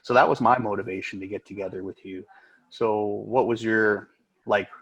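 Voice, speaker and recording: An American man, an agile coach, co-presenting in a relaxed, chatty way on a video call, with many "uh" fillers. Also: on the low side, normal speed, husky, rough recording. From United States.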